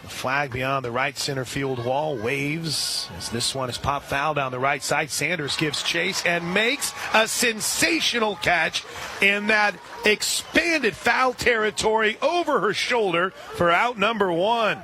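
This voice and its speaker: A man doing live radio play-by-play of a softball game, relaxed and chatty, lifting his voice on big plays. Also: high-pitched, a little slow.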